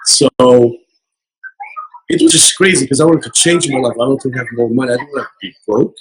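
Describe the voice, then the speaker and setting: A man giving an earnest motivational account as an interview guest, hoarse from losing his voice. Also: medium pitch, brisk, fairly bright tone, low-quality recording. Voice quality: very husky. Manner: earnest, repetitive motivational talk. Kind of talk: casual interview. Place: casino resort event.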